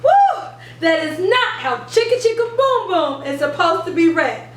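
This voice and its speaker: A woman hosting an instructional video for parents and teachers, speaking in an animated, emphatic, teacherly way. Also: very high voice, unhurried. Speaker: host of an education channel for parents and teachers. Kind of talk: upbeat instructional video to camera. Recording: roomy, distant mic, faint background noise.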